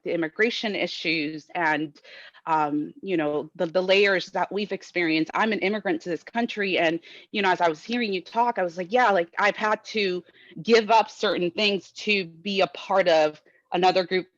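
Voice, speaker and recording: A woman's voice: lowish voice, no room sound, dull audio. A woman from Guatemala asking a long, searching question at a talk, with many fillers and restarts. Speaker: audience member asking a question.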